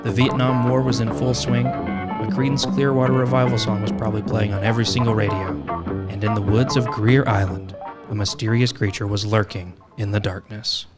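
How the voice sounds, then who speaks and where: A man's voice: fairly low pitch, fairly even intonation, brisk, over loud music. A man hosting a podcast, reading scripted narration in a wry, tongue-in-cheek way. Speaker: podcast host.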